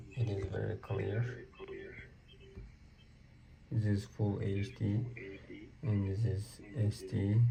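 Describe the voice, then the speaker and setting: A man's voice: fairly low pitch, vocal fry, background noise. A man giving a casual product demonstration as a reviewer, in plain, step-by-step short phrases.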